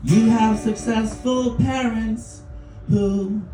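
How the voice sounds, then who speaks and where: A man's voice: high-pitched, fairly even intonation, takes it slowly, mellow, far from the mic. Man singing comic songs over guitar. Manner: jokey sung storytelling, chuckling between lines. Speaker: musical comedian. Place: small bar venue stage, live audience. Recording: roomy live club recording from afar, with guitar.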